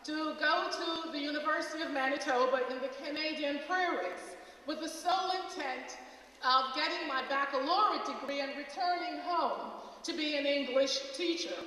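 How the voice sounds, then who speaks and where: A woman's voice: high voice, bright, forceful tone. Jamaican-born woman speaking in a reverberant hall. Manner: measured, stately address. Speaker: college president. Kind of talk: formal welcome speech. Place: large auditorium. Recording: echoey hall recording from far off.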